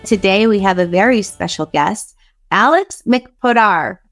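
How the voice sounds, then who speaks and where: A woman hosts an interview with open enthusiasm, speaking at a medium pitch. Her manner is effusive and chatty, like a friendly podcast talk on a video call. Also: lively intonation, unhurried, fairly bright tone, soft background music. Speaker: podcast host. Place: small home office, indoors.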